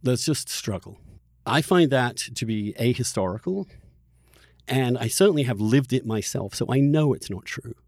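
A man's voice: medium pitch, lively intonation, normal speed, darkish tone, dry recording, studio quality. A middle-aged man hosting an opinionated interview conversation, arguing his points emphatically with the odd chuckle. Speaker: podcast host.

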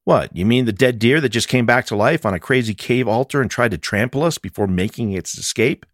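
A man's voice: medium pitch, animated, fairly quick, no echo, studio quality, pitched above the performer's own voice, close to an everyday voice. A man voicing a wry, weary amateur investigator with a casual, sardonic manner in a mystery audiobook. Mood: incredulous.

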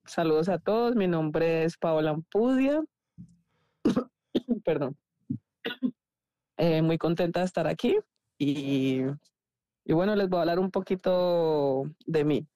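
A woman's voice: fairly low pitch, animated, slow pace, long pauses, dark tone, dry recording. A woman in her thirties with a Colombian accent, chatting candidly and easily as a podcast guest. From Cali, Colombia. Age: 30s. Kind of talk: casual conversational interview.

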